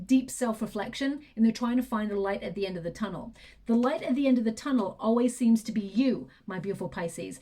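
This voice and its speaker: A woman giving a tarot reading, talking softly and reflectively as she thinks aloud, her voice going hoarse and throat clearing. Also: mid-range voice. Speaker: tarot reader.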